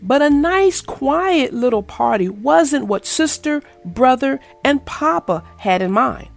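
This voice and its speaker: A woman reading a children's picture book aloud in an expressive, sing-song storytelling manner. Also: sits high, slow pace, creaky, soft background music. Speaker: storybook reader. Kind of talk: children's read-aloud narration.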